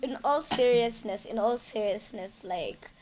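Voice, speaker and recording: Animated young Kenyan woman, slightly high. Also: measured pace, a little hiss or hum, poor audio. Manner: code-switching, animated. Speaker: podcast co-host. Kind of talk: casual chat.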